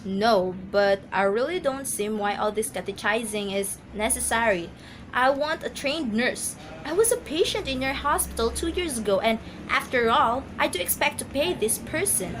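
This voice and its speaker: A woman's voice: a little high, animated, moderate pace, crowd noise, lightly acted, poor audio. A woman playing a nervous, demanding invalid wife in an amateur radio drama, insistent and agitated. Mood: imperious, fretful.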